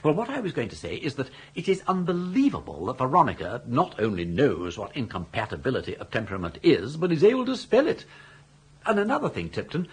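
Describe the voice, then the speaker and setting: An actor playing a jaunty, scheming older English gentleman in a radio comedy, garrulous and grandly worded. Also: mid-range voice, huge pitch swings, fairly quick, slightly rough, full character voice. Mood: persuasive, emphatic. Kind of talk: radio comedy drama acting.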